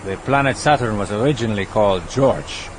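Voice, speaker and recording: An actor playing a stern, wary terrorist leader in an audio drama, terse and commanding. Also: male voice, lowish voice, fairly quick, close to an everyday voice, rough recording. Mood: guarded. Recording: loud vehicle engine noise behind.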